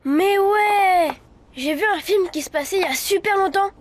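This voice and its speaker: A woman voicing an excitable, naive child who chimes in during an educational cartoon. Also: extremely high pitch, moderate pace. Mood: excited.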